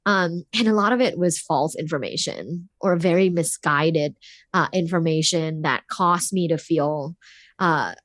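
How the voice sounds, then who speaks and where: A woman's voice: low voice, a little slow, no room sound, studio quality. A woman from Thailand in her thirties, a podcast guest speaking candidly with frequent laughs and fillers. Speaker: guest, professor. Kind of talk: casual interview talk.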